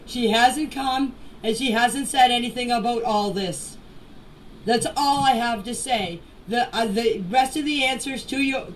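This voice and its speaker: Woman, high voice, plainspoken, emphatic, repeats for stress, unscripted voice message, faint background noise. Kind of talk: unscripted personal statement in voice messages. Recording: noisy voice message, distant from mic.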